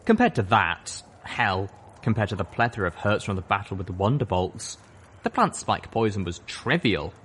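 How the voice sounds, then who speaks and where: A man reading a fan-fiction audiobook aloud, narrating in the first person in a steady storytelling manner. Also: on the low side, normal speed, slightly rough. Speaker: audiobook reader.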